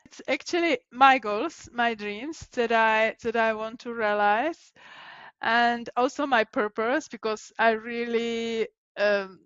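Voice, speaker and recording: A woman's voice: a little high, takes it slowly, a bit bright, clear, resonant tone, dry recording, lo-fi sound. A woman from the Czech Republic with a Czech accent, answering interview questions in an earnest way, often restarting her words. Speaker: guest, LinkedIn personal brand coach.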